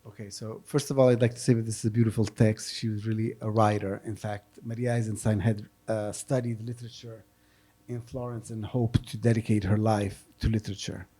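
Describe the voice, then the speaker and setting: A man hosting and interpreting at an event, speaking off the cuff in a halting, hesitant way. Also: on the low side, little pitch movement, warm tone, slightly rough. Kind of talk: unscripted event talk.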